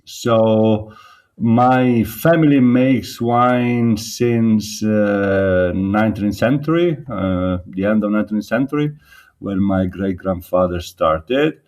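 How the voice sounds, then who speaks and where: A slow-speaking man with an Italian accent, mixed with other places, answers interview questions. He hesitates often and fills pauses with ums and uhs, in a somewhat low voice. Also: darkish tone. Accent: Italian, slightly mixed. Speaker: winemaker guest.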